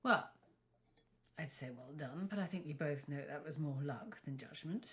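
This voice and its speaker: An actress playing a haughty, gossipy middle-aged British aunt with a theatrical, scornful manner in an interactive film. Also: female voice, sits low, moderate pace, fairly bright tone. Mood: snide. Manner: theatrical, catty, gleefully scornful.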